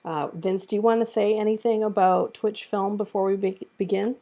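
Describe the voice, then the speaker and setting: A woman's voice: mid-range voice, steady tone, normal speed, mellow. A woman casually hosting and organizing an online table read over a group voice chat.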